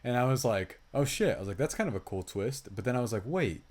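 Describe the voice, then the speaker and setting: A man's voice: mid-range voice, lively intonation, fast talker, a little mellow. Emphatic man venting in film chat. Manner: emphatic, exasperated, swears freely. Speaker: podcast co-host reviewing films. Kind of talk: casual film review chat.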